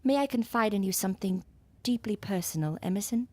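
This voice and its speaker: A woman's voice: mid-range voice, expressive, brisk, dark tone, no room sound, lightly acted. Imperious, eccentric lady doctor, played by a woman. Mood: confiding, intense. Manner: theatrical, archaic, knowing. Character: eccentric, imperious female gothic doctor, secretive researcher. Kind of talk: gothic audio drama acting. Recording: music under the voice.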